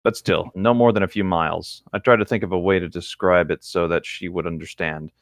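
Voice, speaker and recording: A man's voice: deep voice, fairly even intonation, fairly quick, dry recording, studio quality. A man chatting casually and reading a novel aloud among friends. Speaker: co-host reading a book aloud for commentary.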